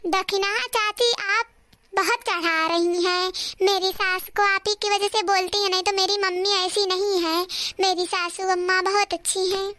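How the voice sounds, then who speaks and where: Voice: very high-pitched, flat delivery, moderate pace, sharp tone. A performer voicing a spoiled young daughter-in-law in a cartoon, sweet-talking, cheeky and self-assured. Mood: sugary defiant. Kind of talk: cartoon character dialogue.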